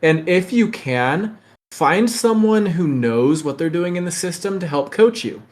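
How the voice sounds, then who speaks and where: A man's voice: high voice, animated, measured pace. A man hosting a casual podcast discussion, giving advice in a frank, practical way. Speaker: podcast host.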